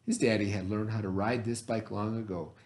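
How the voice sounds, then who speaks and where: A man speaking as a teacher to children, expressive and encouraging, with dramatic emphasis and pauses. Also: fairly low pitch. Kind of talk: expressive read-aloud for children.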